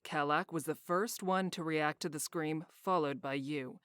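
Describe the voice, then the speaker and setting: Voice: mid-range voice, measured pace, fairly bright tone, clean tone, dry recording, clean studio sound, lightly acted. An actor playing a curt, condescending head of a town guard in a fantasy visual novel. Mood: matter-of-fact. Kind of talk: visual novel character acting.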